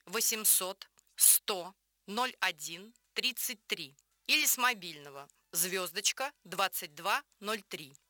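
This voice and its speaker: A woman presenting a scripted radio infomercial in a polished, promotional, clearly enunciated way. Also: mid-range voice, lively intonation, slow, bright, forceful tone, no room sound.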